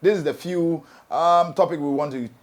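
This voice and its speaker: A man's voice: on the high side, normal speed. Ghanaian man hosting an entertainment show. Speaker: show host and MC. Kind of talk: entertainment talk show, discussing music news.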